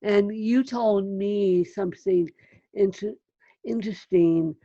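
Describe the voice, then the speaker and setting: A woman hosting an interview podcast, speaking deliberately as she puts questions to a guest. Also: medium pitch, mellow, no echo, highs cut off. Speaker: podcast host.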